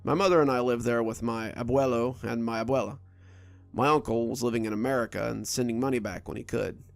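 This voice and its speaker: A man reading a first-person horror story aloud in a steady, low storytelling delivery. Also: fairly quick, creaky, no echo, faint music behind. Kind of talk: scripted horror narration.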